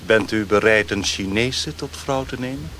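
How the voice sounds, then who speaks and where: An actor playing a formal, haughty Chinese dignitary in a radio play, with a declamatory manner. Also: male voice, fairly low pitch, moderate pace, a bit bright, close to an everyday voice. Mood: formal, probing. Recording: noisy old radio play recording.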